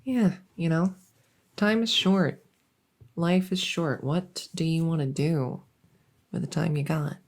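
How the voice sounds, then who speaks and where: A woman playing a silly, harmless monster from under the bed in an ASMR audio roleplay, rambling softly and hesitantly with awkward earnestness. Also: low voice, fairly even intonation, slow, pauses often, heavily acted. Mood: awkwardly earnest. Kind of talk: ASMR audio roleplay, one-sided improvised-sounding interview.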